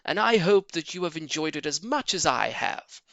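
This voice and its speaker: A jovial, confident fox leader played by a male reader addresses his friends in grand storybook speeches. The voice is bright, animated and lifted, with a rousing orator's swing. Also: on the high side, normal speed, gravelly, no echo, muffled recording, exaggerated voice.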